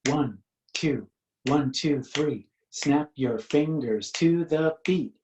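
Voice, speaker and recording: A man's voice: on the high side, takes it slowly, echoey room, background noise. A man reading a picture book to young children as its author, in a singsong, inviting way with questions for listeners.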